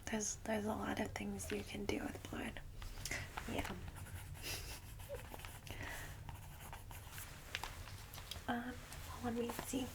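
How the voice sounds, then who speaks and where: Caring vampire guide, played by a woman: female, whispered ASMR, gentle, reassuring, little pitch movement, noticeably noisy close-mic recording. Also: level tone, a little slow, natural voice. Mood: chatty, casual. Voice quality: soft, breathy whisper. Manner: gentle, hesitant, reassuring, informal.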